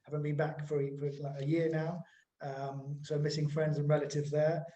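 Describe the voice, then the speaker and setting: A man with an English accent, chatting in a relaxed way as a webinar guest over a video call. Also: fairly high pitch, normal speed. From England. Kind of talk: casual chat. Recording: echoey room.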